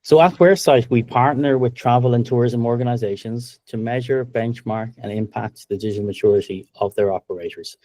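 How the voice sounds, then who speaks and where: Irish man with a mellow voice. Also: medium pitch, brisk, hardly any pauses, dry recording. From Ireland. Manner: steady, upbeat, many ums. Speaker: webinar presenter, company co-founder. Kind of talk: business presentation.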